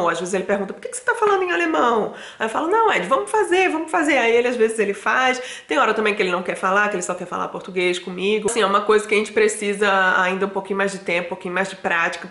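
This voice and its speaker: A woman's voice: mid-range voice, a bit bright. Chatty lady in her 30s from brazil, vlogging at home, roomy echo, lots of um and so. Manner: chatty, many fillers and restarts. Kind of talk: casual talk to camera. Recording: echoey room.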